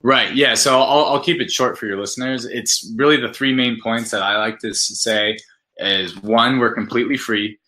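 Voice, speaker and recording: A man's voice: medium pitch, fairly even intonation, moderate pace, bright, forceful tone. A man speaking as an interview guest, explaining his product in an enthusiastic, conversational way and laying out points one by one.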